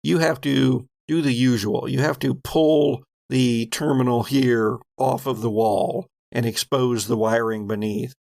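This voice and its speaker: Gravelly man running a tabletop game. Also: mid-range voice, darkish tone, no echo. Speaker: game master. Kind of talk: improvised tabletop game narration.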